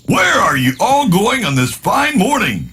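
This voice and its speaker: Man doing a high, hearty storybook baker. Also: wildly swooping pitch, a little slow, slight background noise, full character voice. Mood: hearty, curious. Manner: loud, calling out, exclaiming. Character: hearty, teasing male neighbor Mister Brown, a baker.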